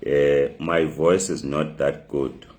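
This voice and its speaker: A man with a hoarse voice from the flu, hosting a live talk show from his phone, speaking haltingly with many fillers and repeated words. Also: extremely low pitch, steady tone, darkish tone. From migrant living in South Africa.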